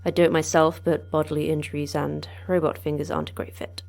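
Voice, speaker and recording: An actress playing the terse, deadpan manager of a secret research facility in an audio drama. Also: female voice, low-pitched, quick pace, creaky, over music. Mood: brisk, curt. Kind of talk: audio fiction acting.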